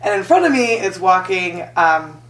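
A woman's voice: lowish voice, normal speed. A young woman comedian from Las Vegas, chatting casually on a podcast and laughing through her stories. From Las Vegas, USA. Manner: laughs often, animated storyteller. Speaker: comedian guest. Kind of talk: casual podcast chat, storytelling. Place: hotel room. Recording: echoey room.

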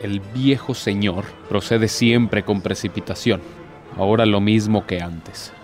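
A man's voice: on the low side, fairly even intonation, unhurried, a little mellow, lightly acted. Guy doing a bitter proud devil in a radio play, sarcastic n theatrical, bit of music behind. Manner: theatrical, rhetorical, sardonic.

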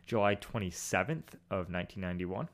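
A man's voice: deep voice, animated, fairly quick. Chatty young male podcast host. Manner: chatty, with frequent ums and jokes. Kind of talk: casual podcast chat.

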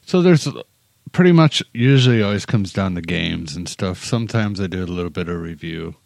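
Low, gravelly-voiced man chatting casually. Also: animated, fairly quick, darkish tone, no echo. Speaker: English teacher in Korea, talk show speaker. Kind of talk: casual chat.

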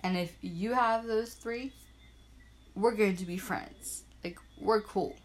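A young woman from Bermuda hosting her own small podcast, chatting in an upbeat, self-deprecating way and spelling things out slowly. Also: mid-range voice. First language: Portuguese and English bilingual. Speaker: podcast host. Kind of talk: casual solo podcast chat. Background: frogs and crickets at night.

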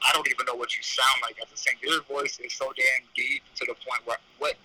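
A man's voice: mid-range voice, fairly even intonation, brisk, sharp tone, slight background noise, rough recording. A man teasing his friend in playful, slangy podcast banter. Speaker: podcast co-host.

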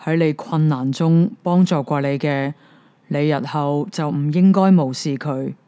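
A firm old king voiced by a woman storyteller lays down the law slowly and deliberately, in a Hong Kong Cantonese accent. The voice sits a little lower than her narration and sounds smooth and full. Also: mellow. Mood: stern. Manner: firm, lecturing. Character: stern, fatherly old king.

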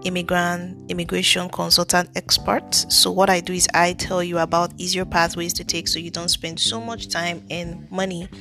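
Woman, Nigerian accent, earnest podcast chat, fry in the voice, faint music behind. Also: on the low side, little pitch movement, moderate pace, few pauses, sharp tone. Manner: chatty, earnest, frequent ums and restarts. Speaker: podcast host, immigration consultant. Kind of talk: casual solo podcast talk.

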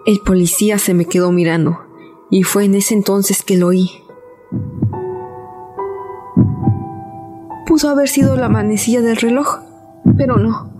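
An unhinged murderess narrates her own crime in a radio play, voiced by a woman, her tone brooding and repetitive. She draws out her words slowly and dramatically, and she sits a touch high for a woman. Clear music plays under her throughout. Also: close to an everyday voice. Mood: uneasy. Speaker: narrator and protagonist. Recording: voice over loud music.

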